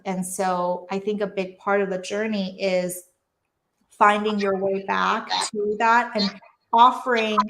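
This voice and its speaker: A woman's voice: medium pitch, a bit bright. A woman who grew up in Taiwan, a physician and coach, talking earnestly and reflectively as an interview guest. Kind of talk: conversational interview. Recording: roomy echo.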